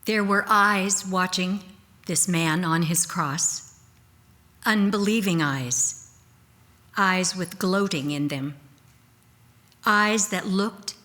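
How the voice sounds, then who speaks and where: A woman giving a solemn, dramatic scripted reading as a church reader, with deliberate, weighty phrasing. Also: lowish voice, fairly bright tone.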